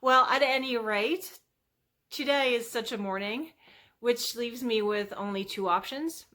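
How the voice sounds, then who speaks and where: A woman's voice: a little high, unhurried, pushed and bright. A woman hosting a fitness vlog, chatting casually and playfully to viewers with self-deprecating humour.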